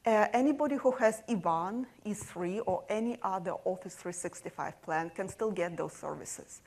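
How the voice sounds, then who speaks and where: A woman with a soft voice giving a technical conference presentation, explaining steadily with frequent fillers. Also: mid-range voice, moderate pace. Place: conference session room.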